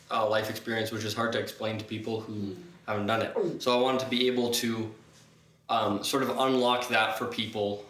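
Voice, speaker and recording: Brief: young host from ottawa, bright forceful voice, joking and slangy, roomy echo. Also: male voice, medium pitch. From Ottawa, Canada. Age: young adult. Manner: playful, laughs often, slangy. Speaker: podcast host. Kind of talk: casual podcast chat.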